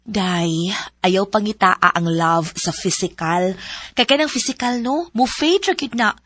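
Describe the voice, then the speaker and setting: Husky-voiced frank young woman. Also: medium pitch, unhurried, fairly bright tone, dry recording. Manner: frank, teasing, advice-giving. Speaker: supporting character, the lead's friend. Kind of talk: radio drama acting.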